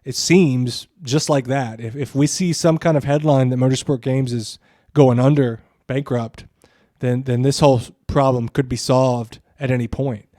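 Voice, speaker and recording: A man's voice: medium pitch, fairly even intonation, normal speed, mellow, no echo, studio quality. A man co-hosting a podcast with casual, opinionated commentary, emphatic, repeating phrases for stress and swearing freely. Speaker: podcast co-host.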